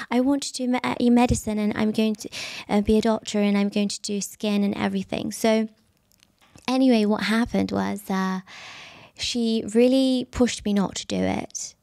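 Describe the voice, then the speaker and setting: Woman in her 30s, soft voice w some fry, chatty, lots of um and you know. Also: a little high, no echo. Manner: animated storyteller, frequent fillers and laughs. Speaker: guest. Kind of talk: casual interview chat.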